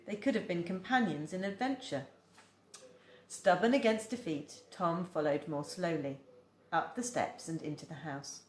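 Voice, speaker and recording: Teacher reading to her class, low-pitched, animated, echoey room. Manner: expressive, acts out the dialogue. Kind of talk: storybook read-aloud.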